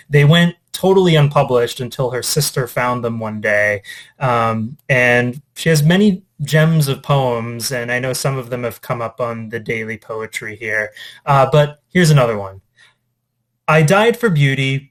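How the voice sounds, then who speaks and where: A man speaking as an English teacher, informally reading and discussing poetry in a reflective, conversational, somewhat halting way. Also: mid-range voice. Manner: reflective, conversational, hesitant with trailing asides.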